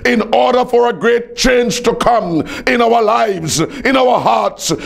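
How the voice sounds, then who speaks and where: A man's voice: extremely high pitch, expressive, slow, creaky. A man preaching a fervent evangelistic sermon in an emphatic, declamatory way, repeating phrases for effect.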